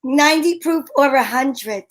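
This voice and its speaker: A woman's voice: high-pitched, unhurried. A woman playing a brash, slangy, wry female private eye in an old-time radio detective drama. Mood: wry, impatient.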